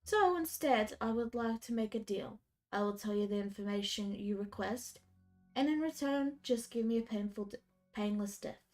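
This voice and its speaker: A woman's voice: mid-range voice, normal speed, darkish tone, faint music behind, close to an everyday voice. A woman voicing a resigned captive advisor. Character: captured male royal advisor, cornered and bargaining.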